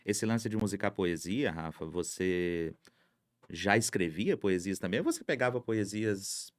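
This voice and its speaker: A man with a Brazilian accent hosting a relaxed talk, asking questions in a casual conversational way. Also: lowish voice, measured pace, no echo, clean studio sound. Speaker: podcast host, interviewer.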